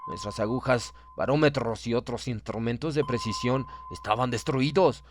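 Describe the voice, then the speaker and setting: A man's voice: medium pitch, normal speed, a little hiss or hum. A man reads a horror story aloud as an audiobook narrator, in dramatic scripted narration. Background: background music.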